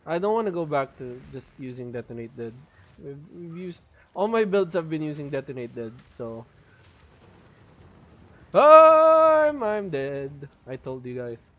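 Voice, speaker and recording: A man's voice: on the high side, sing-song, unhurried, a bit bright, dull audio. A man streaming a game live, chatting casually and explaining his build while his voice is strained and he holds back a cough. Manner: chatty, explains build details, laughs often. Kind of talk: live gameplay commentary.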